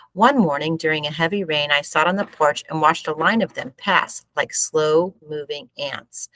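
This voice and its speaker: A woman reading a book aloud as expressive, measured storybook narration. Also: sits low, no room sound, poor audio.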